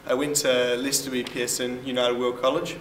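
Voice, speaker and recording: A young man speaks plainly in a flat, level tone about his time at school abroad. His voice rings through a large, echoey hall with faint hiss. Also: mid-range voice, moderate pace. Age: young adult. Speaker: student alumnus. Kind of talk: short testimonial snippets.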